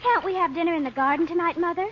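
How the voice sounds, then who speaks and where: An actress playing an earnest, eager teenage daughter in an old-time radio drama. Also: female voice, extremely high pitch, racing. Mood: worried. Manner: earnest, girlish, polite. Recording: dull, slightly noisy old radio recording.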